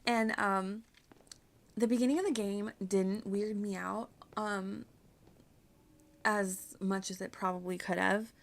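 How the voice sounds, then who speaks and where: A woman's voice: mid-range voice, steady tone, slow, gravelly, long pauses. A woman streaming a video game with casual, rambling, self-deprecating and giggly chat. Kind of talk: casual livestream chat over gameplay.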